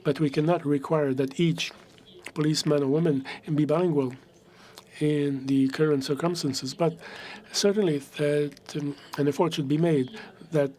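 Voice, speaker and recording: Man, interpreter, halting and measured delivery, fairly high voice. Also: fairly even intonation, warm tone. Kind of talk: interpreted answer.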